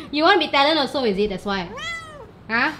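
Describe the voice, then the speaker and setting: A woman's voice: sits high, noisy background. A young woman filming in a small home kitchen, teasing her partner playfully and mixing in particles. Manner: playful, teasing, giggly, Malaysian-English particles. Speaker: vlogger, camera woman. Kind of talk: casual teasing banter. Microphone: handheld camera close. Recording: music playing over the voice. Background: background music.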